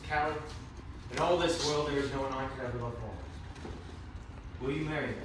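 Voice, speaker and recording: A man's voice: medium pitch, steady tone, fairly quick, close to an everyday voice. A man playing an earnest, lovesick young sailor in a student readers theatre piece. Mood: adoring. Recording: echoey room recorded from far off, faint noise.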